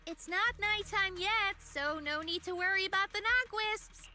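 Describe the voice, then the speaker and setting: A woman's voice: extremely high pitch, measured pace, over loud music. A woman voicing a perky, watchful and caring young girl companion in a video game, with a girlish voice. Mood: cheerful.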